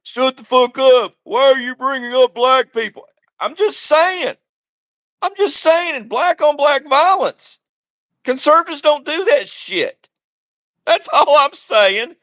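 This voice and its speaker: A man's voice: very high-pitched, takes it slowly, a bit bright, no echo. A man calling into a livestream, taunting the host in a heated political argument. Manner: taunting, repeats the host's name. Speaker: caller on a livestream.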